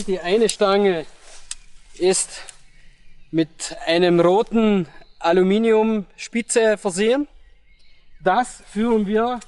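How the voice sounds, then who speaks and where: A young man giving step-by-step instructions on pitching a tent in an outdoor meadow, pausing with fillers as he works. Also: a little mellow, a little hiss or hum. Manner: explains step by step with pauses and fillers. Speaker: product demonstrator.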